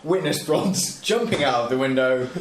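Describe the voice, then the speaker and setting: A man commenting casually as a tabletop game player, in a deadpan and rather wordy way. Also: medium pitch, moderate pace, a crowd in the background. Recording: echoey room, clear background noise.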